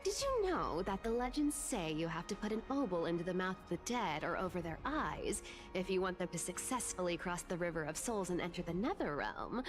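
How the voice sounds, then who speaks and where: A woman's voice: on the low side, big pitch swings, a little fast, over music. A woman voicing a young female trickster demigod in a video game, with a breezy, teasing, sing-song delivery. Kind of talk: video game character acting.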